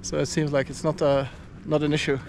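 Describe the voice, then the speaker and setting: A man's voice: a little high, level tone, a little fast, a little mellow, a little hiss or hum. A man in his thirties from Belgium, chatting casually as a travel vlogger while walking around, laughing often. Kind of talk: casual vlog narration. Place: outdoor stadium and its corridors. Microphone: action camera held at arm's length.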